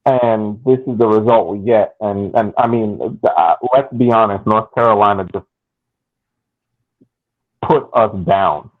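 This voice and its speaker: This is a man speaking slowly and haltingly, often restarting his sentences. His voice comes through a thin, phone-like line. His tone is downbeat as he weighs things up. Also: on the low side. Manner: halting, repeats words, downbeat. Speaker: podcast co-host. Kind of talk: casual sports podcast chat.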